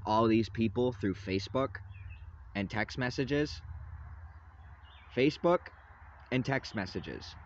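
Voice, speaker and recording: A young man ranting loudly and rambling into his phone from inside a parked vehicle. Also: mid-range voice, slow, pauses often, dull audio, a little hiss or hum. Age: young adult. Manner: loud, agitated, rambling rant. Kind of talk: ranting selfie vlog. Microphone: phone at arm's length.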